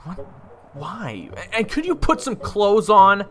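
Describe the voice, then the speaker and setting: A man's voice: high-pitched, wildly swooping pitch, takes it slowly, fairly bright tone, full character voice. Audio drama jester-narrator, played by a man: casual, wry, easily flustered, long reverb, music behind. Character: wisecracking, easily flustered jester telling his own story. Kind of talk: first-person audio drama narration and dialogue.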